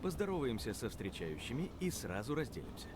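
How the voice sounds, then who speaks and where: An actor playing a sardonic, authoritative state investigator of old Russia in a visual novel game, speaking formally. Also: male voice, medium pitch, sing-song, fast talker, background noise, natural voice. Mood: measured, formal.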